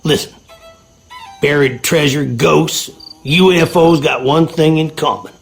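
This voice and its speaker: Gravelly Southern handyman. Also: fairly high pitch, slow, faint music behind. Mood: dismissive. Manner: folksy drawl, colloquial. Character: folksy, skeptical Southern handyman. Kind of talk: video game dialogue.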